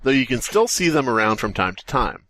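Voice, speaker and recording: A man's voice: medium pitch, very animated, brisk, sharp tone. A man co-hosting a podcast, joking and riffing as he chats and reads a story aloud. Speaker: podcast co-host. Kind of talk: casual comic chat and story reading.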